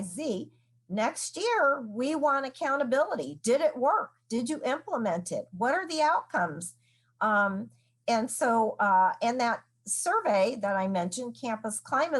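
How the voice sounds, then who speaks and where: Halting woman giving a campus address. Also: fairly high pitch, husky. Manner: halting, many "uh" fillers. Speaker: university administrator. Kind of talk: formal address with slides.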